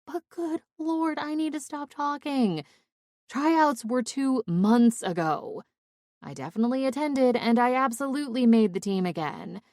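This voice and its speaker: A woman's voice: high voice, measured pace, a little mellow, no room sound, studio quality, pitched above the performer's own voice. A woman voicing a drunk, giggly young former cheerleader rambling dramatically and swearing on the phone in an audiobook.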